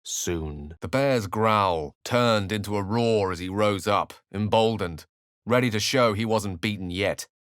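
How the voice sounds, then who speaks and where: Wry scholarly wizard from a video game, kinda low voice, wordy and polite, very dry studio sound. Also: male voice, measured pace, a bit bright, clear, resonant tone, dry recording. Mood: ominous narration. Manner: articulate, literary, theatrical phrasing. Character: eloquent, bookish male wizard, wry, courteous and brooding. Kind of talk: video game voice acting, narration and dialogue.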